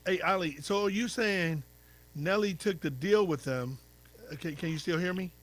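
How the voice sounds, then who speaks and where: A man's voice: high voice, normal speed. A male radio host interviewing a guest, repeating himself to make sure he is heard. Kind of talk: interviewer checking the connection.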